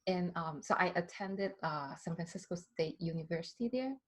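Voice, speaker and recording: Brief: woman, 30s, from southern China, husky lowish voice, halting and filler-heavy. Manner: hesitant, many fillers and restarts. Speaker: skincare brand founder, guest. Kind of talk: casual interview.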